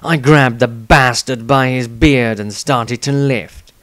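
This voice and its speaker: Man playing a cold, smooth-voiced scornful fighter. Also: medium pitch, fairly even intonation, fairly bright tone, no echo. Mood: cold menace. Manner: deliberate, contemptuous. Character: cold, misanthropic male fighter, menacing and scornful. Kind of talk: dramatised diary reading.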